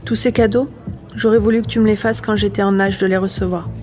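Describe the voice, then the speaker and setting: A woman's voice: mid-range voice, fairly even intonation, quick pace, a little mellow, natural voice. A woman acting a wounded, reproachful grown child in an audio drama. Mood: hurt, reproachful. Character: hurt, reproachful grown child refusing to forgive. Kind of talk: novel adaptation read as audio drama. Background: faint music.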